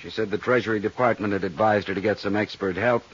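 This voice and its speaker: A big, easygoing American man in his late forties, an accountant in a 1950s radio drama. His voice is fairly low and husky, and he rambles in a folksy, chatty way. The sound is dull, like old radio. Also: fairly even intonation, fast talker. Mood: chatty, explaining.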